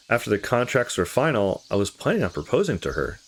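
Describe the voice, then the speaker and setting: A man voicing a troubled, candid young visual novel character who confides past heartbreak in a halting, confessional way. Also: fairly low pitch, big pitch swings. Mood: somber, confiding.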